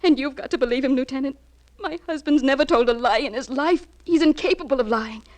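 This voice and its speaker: An actress playing a trusting, anxious, fiercely loyal wife in a 1950s radio crime drama, earnest and emotional in delivery. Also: female voice, high voice, a little fast, a little mellow, close to an everyday voice. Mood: defiant, insistent.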